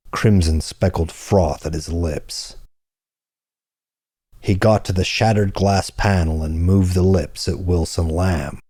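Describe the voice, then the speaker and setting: A man reading hardboiled crime fiction as an audiobook narrator, in a measured, deliberate storytelling manner. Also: sits low, mellow, breathy edge, no room sound, studio quality.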